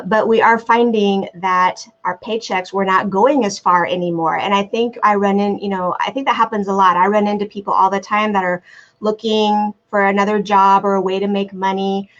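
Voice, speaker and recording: A middle-aged American woman chats as a guest on a video call, her voice at a middle pitch. She talks freely, with frequent fillers and easy laughter. The call audio is dull and lo-fi. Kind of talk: casual interview talk.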